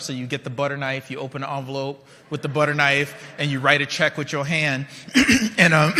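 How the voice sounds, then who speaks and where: A man's voice: medium pitch, bright, forceful tone, long reverb tail. A man in his forties who grew up in Liberia, giving a conversational keynote speech and often checking in with his audience. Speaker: keynote speaker. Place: large conference hall.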